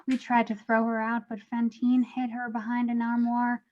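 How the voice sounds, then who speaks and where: A fussy, overbearing middle-aged ghost mother, acted by a woman, with a high voice that gushes over her child. It is a cartoon comedy read, caught on a dull lo-fi microphone. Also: monotone, normal speed, lightly acted. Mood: chatty fussing. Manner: doting, chatty, overbearing. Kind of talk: animated comedy table read.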